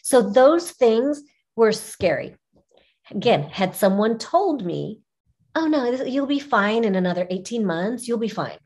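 A middle-aged woman whose first language is Arabic, telling personal stories as an interview guest in an expressive way, repeating phrases for emphasis. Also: on the high side, unhurried. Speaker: podcast guest, image consultant. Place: small furnished room indoors, video call. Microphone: video call, seated at webcam distance.

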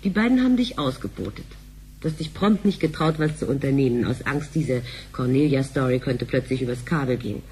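Actress as sarcastic, animated low-voiced wife. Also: female voice, brisk, a little hiss or hum, poor audio. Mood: mocking. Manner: mocking, needling. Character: sharp-tongued, sarcastic wife nagging her husband. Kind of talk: sci-fi radio drama.

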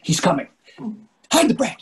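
A man's voice: normal speed, lightly acted. An older man playing an anxious, earnest husband and father in a play reading, needling and conversational over a video call. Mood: nervous. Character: anxious, fretful middle-aged husband and father. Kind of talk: readers theatre play reading.

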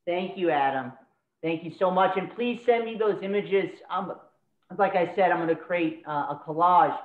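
A woman hosting an online workshop, encouraging and calling on participants by name. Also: fairly low pitch. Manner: brisk, encouraging, calls on people by name. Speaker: workshop host. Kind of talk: casual moderation. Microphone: video call. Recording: tinny phone-like echoey call audio.

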